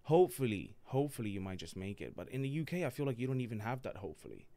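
A young man, born in Italy with Italian as his first language, chatting as a comedian guest on a podcast, animated and quick to laugh. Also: medium pitch. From Sicily, Italy. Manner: animated storyteller, laughs often, acts out voices. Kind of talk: casual podcast chat.

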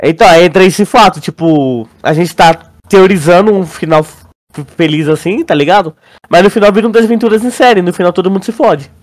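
A young man with a Brazilian accent chatting casually as a podcast co-host, in a slangy, halting way. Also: high-pitched. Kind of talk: casual chat.

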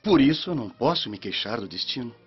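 An earnest young Roman soldier in a radio drama. He is a devoted son who speaks formally and respectfully, at a middling pitch. The sound is dull, with light music behind him. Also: very animated, quick pace, breathy edge. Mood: concerned, respectful. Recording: muffled lo-fi, music underneath.

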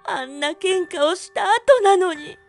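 An actress playing a tender, grieving young widow with emotional, sincere delivery in an audio drama. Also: female voice, extremely high pitch, lively intonation, unhurried, gravelly, soft background music, heavily acted. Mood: hurt, upset.

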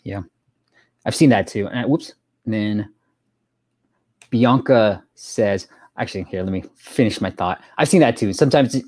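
A man's voice: fairly low pitch, a little mellow, no room sound. A man hosting a live stream, chatting casually and reading out viewer questions.

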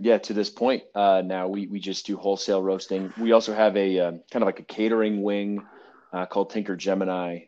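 Friendly low-voiced guy being interviewed, easy plain answers, kinda muffled. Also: a little mellow. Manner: friendly, plain, with ums and restarts. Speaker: coffee roaster, guest.

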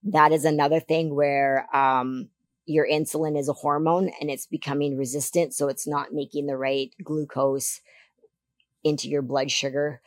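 Low-voiced woman talking earnestly. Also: flat delivery, moderate pace, dry recording. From Canada. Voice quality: deeper voice than before. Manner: earnest, many restarts and fillers. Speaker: guest. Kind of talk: casual interview talk.

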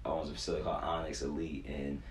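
A man's voice: rumbling low, little pitch movement, fast talker. A man in his twenties speaking casually and earnestly as a coach being interviewed, in informal, slangy language with plenty of "you know". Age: 20s. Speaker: youth sports performance coach, interview guest. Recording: echoey room, faint background noise.

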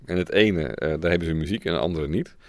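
A man's voice: sits low, animated, fast talker, gravelly, no echo. A man talking casually as a sound designer in an interview, informal and hesitant. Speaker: radio drama sound designer. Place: studio.

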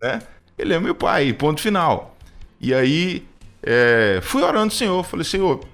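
A man's voice: a little high, big pitch swings, a little slow, a bit bright. Earnest hesitant man in his thirties. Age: 30s. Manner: hesitant, many restarts and fillers, earnest. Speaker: radio program co-host.

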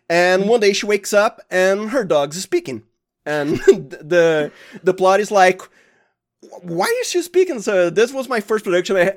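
A man with a Brazilian accent, a native Portuguese speaker, chatting casually and enthusiastically as an interview guest. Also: sits high. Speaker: guest, audio fiction producer.

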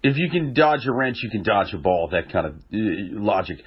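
A young man with a cold and a nasal voice, chatting casually on a podcast with dry deadpan jokes. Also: on the low side, moderate pace, slightly rough. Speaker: podcast co-host. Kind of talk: casual chat. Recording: dull lo-fi audio.

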